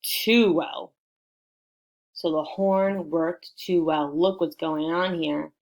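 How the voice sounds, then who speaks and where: A woman reading a picture book aloud to children in an expressive way, prompting her listeners with questions. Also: sits low, takes it slowly, poor audio. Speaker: storybook reader for children.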